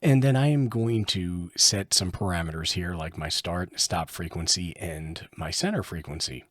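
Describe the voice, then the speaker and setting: A man's voice: low-pitched, normal speed, fairly bright tone, dry recording, clean studio sound. A man giving a casual hands-on product review, explaining each step as he goes. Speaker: radio hobbyist reviewer, host.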